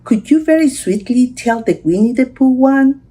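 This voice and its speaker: A woman's voice: a little high, expressive, normal speed, mellow, slightly rough, voice pushed up. A woman voicing a curious little boy in a storybook, asking and coaxing sweetly. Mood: coaxing, eager.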